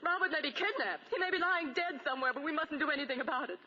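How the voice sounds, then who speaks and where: An actress playing an overwrought young wife in 1940s radio drama, tearful, bitter and dramatic. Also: female voice, high voice, animated, fast, bright, forceful tone. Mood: bitter, distraught. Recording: muffled 1940 radio broadcast.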